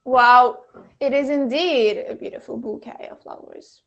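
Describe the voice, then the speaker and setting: A woman's voice: a little high, measured pace, a bit bright, dull audio, a little hiss or hum. Bubbly young woman from Kazakhstan. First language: Russian. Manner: bubbly and giggly, playful. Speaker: live streamer, guest. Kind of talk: casual interview chat.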